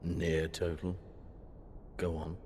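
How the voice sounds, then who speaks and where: A man's voice: sits low, little pitch movement, slow, a little mellow, slight background noise. A man playing a cruel, haughty imperial prince in a video game, speaking with languid, theatrical menace. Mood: cold disdain. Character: cruel imperial crown prince commander, bored predatory huntsman. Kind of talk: dramatic video game cutscene acting.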